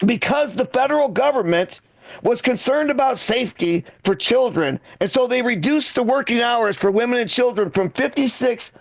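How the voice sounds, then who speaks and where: High-pitched gravelly male stand-up comic. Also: animated, normal speed, dry recording, poor audio. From Michigan, United States. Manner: conversational, profane, repeats and restarts phrases. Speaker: stand-up comedian. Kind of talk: stand-up comedy routine.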